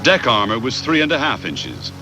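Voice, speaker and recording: A man reads scripted documentary narration in a measured, factual way. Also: medium pitch, huge pitch swings, over music.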